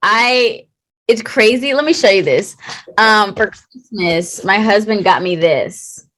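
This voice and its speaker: A woman in her late twenties from Texas, an actor being interviewed, talking in a chatty, lively way and laughing often. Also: medium pitch, slow pace. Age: late 20s. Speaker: actor guest. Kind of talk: casual interview chat.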